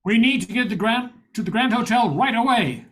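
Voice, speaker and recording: An older woman playing a confident, brisk private detective in an amateur old-time radio drama. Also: fairly high pitch, lively intonation, natural voice. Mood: determined.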